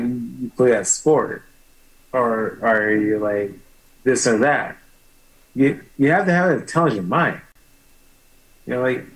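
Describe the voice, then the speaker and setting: A man speaking as a guest on a talk show, halting and filling pauses with "like" and "you know". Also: medium pitch, low-quality recording. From United States. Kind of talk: casual interview talk.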